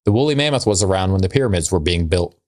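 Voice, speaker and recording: A man with a fairly low voice chats casually as a podcast co-host. He delivers trivia and jokes dryly, in a loose comedic style. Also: expressive, quick pace, a little mellow.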